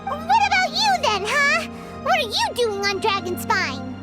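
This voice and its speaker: A tiny floating fairy girl from a video game. The voice is squeaky, childlike and extremely high, leaping about in pitch. She is cheeky and excitable, dramatic in every line, over prominent background music. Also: a little slow, full character voice. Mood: indignant. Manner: exclamatory, dramatic, refers to self in third person. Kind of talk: animated video game voice acting.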